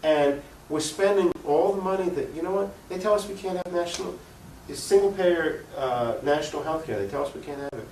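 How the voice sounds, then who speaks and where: A man's voice: a little high, brisk, few pauses, darkish tone. An opinionated man making an unscripted political argument as an interview guest. He speaks emphatically and leans on rhetorical questions. The recording is roomy and echoey, picked up at a distance from the mic, with faint noise. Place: room recording for a cable access show.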